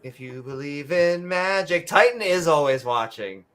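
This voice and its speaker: A woman's voice: low voice, unhurried. A woman co-hosting a podcast in casual chat, telling jokey stories and swearing freely. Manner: animated, swearing, joking storyteller. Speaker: co-host.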